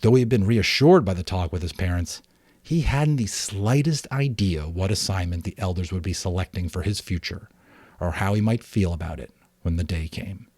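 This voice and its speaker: A man's voice: sits low, moderate pace, a little mellow, slightly rough, no echo. A man reading scripted storybook narration aloud.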